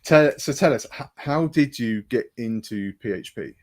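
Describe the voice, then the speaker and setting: A man's voice: medium pitch, animated, moderate pace. A man hosting an interview, friendly, stumbling a little as he asks questions. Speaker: podcast host. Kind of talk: interviewing a guest.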